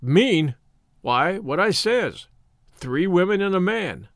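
A man's voice: high-pitched, sing-song, takes it slowly, dry recording, clean studio sound, exaggerated voice. A man voicing a grave, superstitious old shepherd with rustic English dialect, in a storybook reading. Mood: insistent. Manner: dialect speech, solemn warnings.

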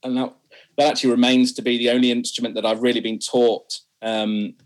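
A man's voice: medium pitch, flat delivery, a little fast. A young man, a singer, talking candidly about his music in an interview, self-deprecating and laughing often. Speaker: singer guest. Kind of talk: interview conversation.

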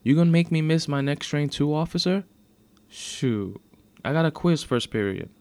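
A man's voice: medium pitch, expressive, unhurried, vocal fry, warm tone, voice pushed up. A man voicing a defiant, upset sixteen-year-old girl with slangy, indignant speech in a narrated story.

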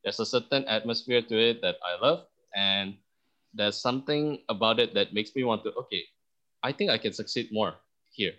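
Bright-voiced man from Indonesia, dull audio. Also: on the low side, normal speed. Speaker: podcast guest. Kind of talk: storytelling in an interview.

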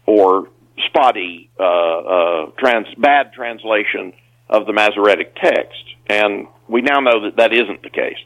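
Male interview guest, conversational explainer, halting delivery with frequent self-corrections. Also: on the low side, fairly bright tone, rough recording. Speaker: author, podcast guest.

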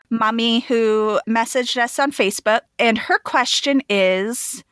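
Bright-voiced woman co-hosting a podcast. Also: a little high, slow, smooth, no room sound, clean studio sound. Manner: laughs often, reads listener questions aloud. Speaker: podcast co-host. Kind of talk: casual conversational podcast.

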